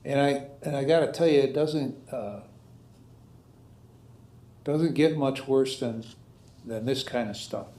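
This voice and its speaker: A man with an American accent speaking as a judge, questioning and admonishing in a halting, stern way. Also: medium pitch, dark tone, a little hiss or hum. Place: indoor courtroom. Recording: echoey room sound.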